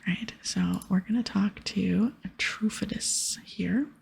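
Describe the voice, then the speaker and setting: A woman host whispering softly and breathily as she gives gentle, chatty ASMR commentary on a video game. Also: medium pitch, slow pace, vocal fry, husky.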